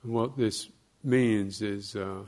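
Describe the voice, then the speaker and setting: A man with a gravelly, fairly low voice leads a guided meditation. His delivery is very slow and measured, broken into short phrases with pauses, as a teacher giving instruction. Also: fairly even intonation, a little mellow.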